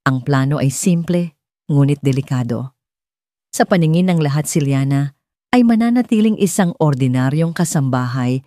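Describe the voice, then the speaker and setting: A woman's voice: sits low, dark tone, no echo, studio quality. A woman reading a scripted dramatic story as an audiobook narrator.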